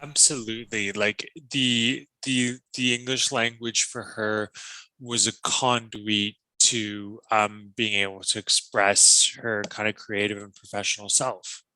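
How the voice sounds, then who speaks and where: A man's voice: on the low side, little pitch movement, a little slow, bright, forceful tone, dry recording, poor audio. A man, a guest on an interview podcast, speaking thoughtfully with frequent ums and restarts. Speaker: guest, language teacher.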